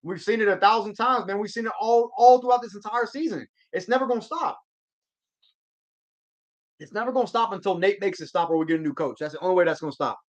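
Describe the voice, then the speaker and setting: A man's voice: high voice, fast talker. A man hosting a live sports stream, chatting casually and answering viewer comments in a rambling, slangy way. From Georgia. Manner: laid-back slang, rambling restarts.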